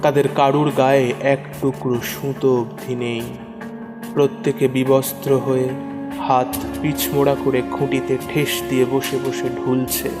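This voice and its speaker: A man's voice: mid-range voice, level tone, normal speed. A man narrating a first-person horror story in a suspenseful, theatrical way, repeating phrases for dramatic effect. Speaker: narrator of horror audio stories. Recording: long reverb over prominent music. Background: music.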